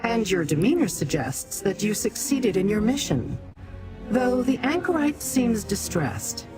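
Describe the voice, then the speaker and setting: An actress playing a cool, knowing woman in a fantasy video game, speaking in a formal, measured way over music. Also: medium pitch, big pitch swings, poor audio. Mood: curious, appraising.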